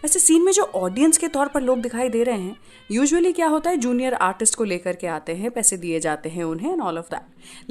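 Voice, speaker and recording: A woman's voice: fairly high pitch, darkish tone. A woman with an Indian accent presenting a radio show, telling stories in a chatty, conversational way and switching between two languages. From Indore, India.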